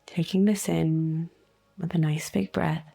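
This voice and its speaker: Slow, creaky-voiced woman guiding meditation. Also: deep voice, steady tone. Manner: soothing, unhurried, gently instructive with long pauses. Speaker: guided meditation host, energy healer. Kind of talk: guided meditation. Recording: soft music bed behind voice. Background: faint music.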